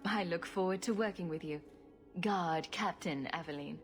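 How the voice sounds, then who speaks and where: A woman's voice: lowish voice, normal speed, faint music behind, natural voice. A woman voicing a wry rogue adventurer in a video game, with a dry, teasing, sarcastic manner. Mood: curious, wry.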